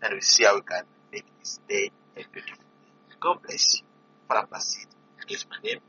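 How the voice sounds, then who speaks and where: A man from DR Congo, a priest and vocation director, making an earnest, pleading appeal to listeners with many pauses. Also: lowish voice, huge pitch swings, bright, forceful tone, breathy edge. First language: not English. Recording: dull lo-fi recording with faint noise.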